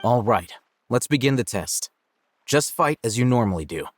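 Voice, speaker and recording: An actor playing a composed, aloof young scholar in a video game, speaking in a measured, matter-of-fact and formal way. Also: male voice, medium pitch, expressive, a little hiss or hum, natural voice. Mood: cool, matter-of-fact. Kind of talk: video game cutscene dialogue.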